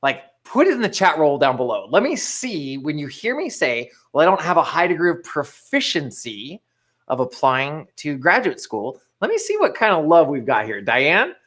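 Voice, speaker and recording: A man's voice: a little high, very animated, moderate pace, a bit bright. A young man co-hosting a live motivational stream, talking casually and eagerly reading viewers' chat messages aloud. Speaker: co-host of live motivational show.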